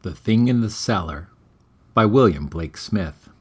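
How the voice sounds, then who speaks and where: A man's voice: lowish voice, expressive, unhurried, breathy edge. A man hosting and reading his own story aloud in a wry, mock-grave, dramatic manner.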